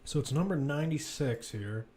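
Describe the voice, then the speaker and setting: A man's voice: a little high, expressive, normal speed, a little mellow. A man giving live, informal football play-by-play, chatting and thinking aloud as he follows the action. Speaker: play-by-play commentator. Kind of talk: live football commentary, casual and conversational.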